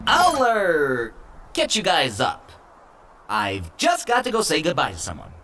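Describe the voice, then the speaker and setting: A woman's voice: low-pitched, wildly swooping pitch, unhurried, a bit bright, heavily acted. A woman playing a small, feisty robot companion in a comic dub, earnest and put-upon, with emphatic delivery. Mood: polite, sheepish. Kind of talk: comic book dub acting.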